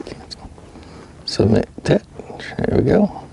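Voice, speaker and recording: A man's voice: takes it slowly, warm tone. A middle-aged man vlogging from a kayak on an open lake, explaining each step aloud and talking to the fish he handles. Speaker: fishing vlogger. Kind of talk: casual narration while working. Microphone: mounted action camera. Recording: outdoor wind and water noise.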